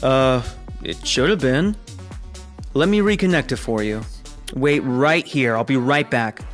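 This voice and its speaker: An actor playing a chatty, teasing colleague on a space station in a sci-fi audio drama, casual and inquisitive. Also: male voice, mid-range voice, measured pace, faint music behind, close to an everyday voice.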